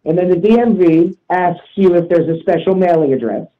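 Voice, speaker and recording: Blunt man with a higher-pitched voice, muffled sound. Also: a little fast. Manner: blunt, insistent, profane. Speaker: talk show participant. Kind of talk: casual opinionated discussion.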